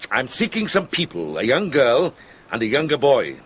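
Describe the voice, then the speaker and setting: An actor playing a kindly, inquiring Benedictine monk in a radio drama, measured and gentle. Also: male voice, mid-range voice, huge pitch swings, a little hiss or hum, rough recording. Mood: courteous, earnest.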